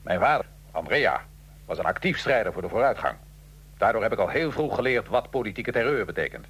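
A man playing a condemned Italian revolutionary in a radio drama, recounting his life in a measured, dry, ironic way. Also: fairly low pitch, sing-song, fairly bright tone, slightly rough, exaggerated voice. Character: Italian male revolutionary prisoner, condemned, dryly matter-of-fact. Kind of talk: radio drama acting, interview-style storytelling.

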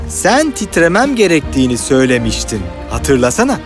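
An earnest, scatterbrained poet in a fairy-tale cartoon, with a fairly high, very animated voice. He speaks slowly and melodramatically over faint music. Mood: determined. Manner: melodramatic, pleading. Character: earnest, dreamy, scatterbrained lovesick male poet.

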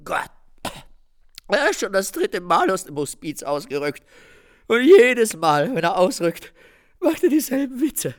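Wry police officer in a radio play, slow delivery, voice goes up and down a lot. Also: male voice, high voice, very animated. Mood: wry, officious. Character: brisk, matter-of-fact police officer, unimpressed by miracles. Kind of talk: German radio play acting.

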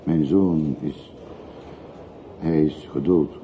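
On a dull old radio play recording with faint noise, an older working man with an extremely deep voice. He is courteous and curious, putting probing questions in a polite, slightly hesitant way. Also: fairly even intonation, very slow, exaggerated voice. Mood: grieving, restrained. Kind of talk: radio drama acting.

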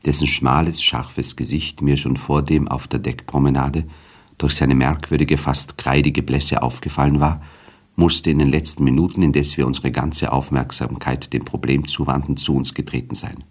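Extremely deep, husky male narrator. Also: level tone. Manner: measured, formal storytelling. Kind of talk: scripted literary narration for radio drama.

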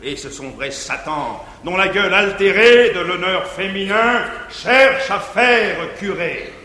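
A pompous, possessive guardian who declaims with a bright, high and wildly swooping voice. He lectures and commands in booming stage verse. The 1950s stage recording rings in a long theatre reverb with faint hiss. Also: animated, slow pace, exaggerated voice. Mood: smug. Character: jealous middle-aged male guardian, domineering and pompous. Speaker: stage actor.

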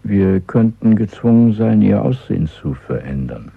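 A male actor in a German radio play playing a stern, controlled conspirator and official who questions and instructs in a measured, formal, commanding way, with a husky, gravelly voice. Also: lowish voice, very animated, dry recording, heavily acted. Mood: probing, stern. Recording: muffled, narrow-band dry radio recording.

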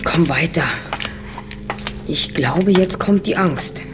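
A woman's voice: sits low, slow, music in the background. A woman playing a self-assured, brainy teenage boy detective in an audio drama, precise and a little pompous. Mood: calm, reasoning.

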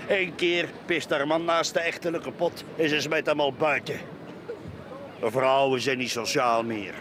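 A man's voice: a little high, steady tone, unhurried, natural voice. An actor with a Flemish accent playing a bitter, boastful, drunken middle-aged man in a radio play. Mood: grumbling, boozy. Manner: rambling, repetitive, cackling, tipsy. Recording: radio play with clear outdoor background noise.

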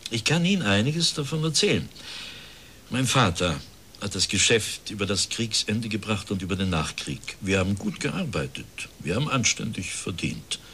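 A man's voice: lowish voice, very animated, moderate pace, bright, forceful tone, slight background noise, exaggerated voice. An actor playing a resigned factory director in his fifties, speaking formally and plaintively in a radio drama. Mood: earnest, explaining. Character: bitter, resigned plump factory director of fifty-one.

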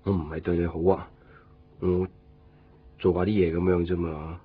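Deep-voiced, devoted young Hong Kong man. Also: flat delivery, moderate pace, husky. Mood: teasing, caring. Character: gentle, teasing, devoted young man in love. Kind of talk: Cantonese radio drama acting, low-key and natural. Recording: dull lo-fi 1990s radio recording. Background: faint music.

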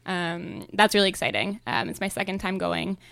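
A young woman slam poet chatting in an interview, talking with frequent ums and quick asides. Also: fairly low pitch, lively intonation, gravelly, a bit bright, dry recording. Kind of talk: casual interview chat.

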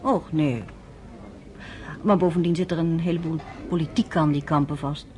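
A woman's voice: deep voice, steady tone, moderate pace, a little mellow, natural voice. An actress in her late twenties playing a reserved, guarded nurse in a radio play. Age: late 20s. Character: guarded young widowed nurse.

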